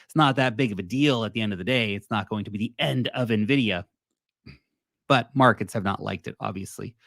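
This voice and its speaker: A man's voice: lowish voice, a little fast, gravelly, fairly bright tone, dry recording, clean studio sound. A man hosting a casual livestream on crypto and stock markets, rambling through asides and answering his chat as he goes. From United States.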